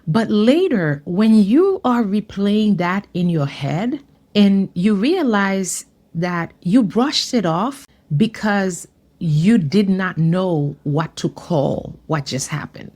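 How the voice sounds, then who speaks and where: A woman from Haiti giving an earnest, measured personal testimony. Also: mid-range voice, lively intonation, a little mellow.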